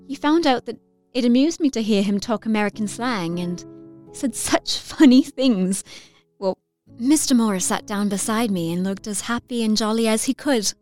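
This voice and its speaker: An actress playing an excitable, romantic Victorian girl of nineteen, reading her letter effusively in an audio drama. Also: fairly high pitch, close to an everyday voice. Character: excitable, romantic nineteen-year-old Victorian young lady.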